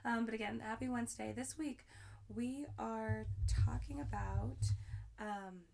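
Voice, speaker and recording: A young woman hosting her own podcast, talking in a rambling, upbeat way with lots of fillers, her voice hoarse and raspy. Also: a little high, fairly even intonation, measured pace, a little mellow. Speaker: podcast host. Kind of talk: solo podcast talk.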